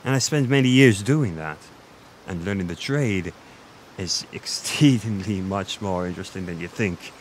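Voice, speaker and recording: A man plays a flirty Irish innkeeper in a fantasy roleplay audio, with a lowish voice. He is teasing and gentle, chatting intimately and poking fun at himself. Clear background ambience runs behind his voice. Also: normal speed, a little hiss or hum, natural voice. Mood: friendly, reminiscing. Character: flirty Irish male innkeeper, gentle, self-deprecating former adventurer.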